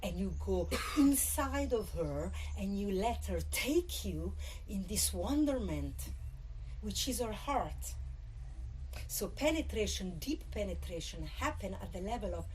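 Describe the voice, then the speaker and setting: A woman's voice: mid-range voice, expressive, a little mellow, low-quality recording. An Italian woman with an Italian accent gives an informal, irreverent and humorous live talk, sometimes tripping over her English words. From Italy. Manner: halting English with occasional word slips. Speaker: workshop speaker on tantra. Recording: live festival talk with background noise.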